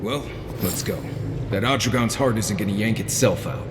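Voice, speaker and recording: A man's voice: lowish voice, normal speed, natural voice. An actor playing a wisecracking, irreverent male companion in a video game, casual and sardonic. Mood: wry. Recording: loud background noise, long reverb.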